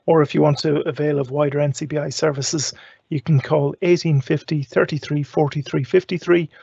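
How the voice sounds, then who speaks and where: Husky, creaky-voiced man hosting. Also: on the high side, darkish tone, no echo, lo-fi sound. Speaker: host of a live tech show. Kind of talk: casual live show presenting.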